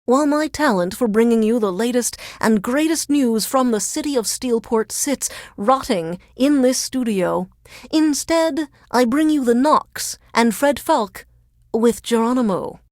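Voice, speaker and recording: A woman playing a sardonic female radio DJ in a video game, introducing songs in a deadpan, measured, formal announcer's delivery. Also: on the high side, dry recording, studio quality, close to an everyday voice. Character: dry, sardonic female radio DJ under alien occupation.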